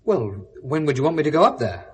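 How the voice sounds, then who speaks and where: A man's voice: fairly high pitch, big pitch swings, fairly quick, slightly rough, exaggerated voice. A man in his thirties with a British accent acting a diffident, guarded, educated man down on his luck in a 1970s radio drama. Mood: taken aback. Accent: British English. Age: 30s. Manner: hesitant, guarded.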